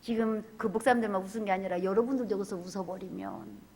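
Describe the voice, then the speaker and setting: A woman's voice: mid-range voice, quick pace, darkish tone. Impassioned woman preacher, cries to whispers. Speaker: pastor. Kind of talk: sermon.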